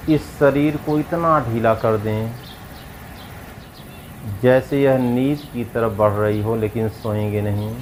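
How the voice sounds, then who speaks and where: A man guiding a yoga nidra meditation as a yogi, giving measured instructions in short, spaced phrases. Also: medium pitch, steady tone, darkish tone, slight background noise, rough recording. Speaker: yogi leading guided meditation.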